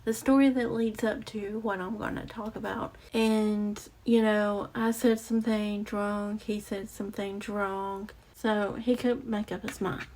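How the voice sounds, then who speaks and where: A young woman with a raspy voice telling a personal story to her viewers, halting and reflective, with many fillers and restarts. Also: mid-range voice, little pitch movement, darkish tone, low-quality recording. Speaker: vlogger. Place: outdoors or near a street with passing cars. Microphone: close mic.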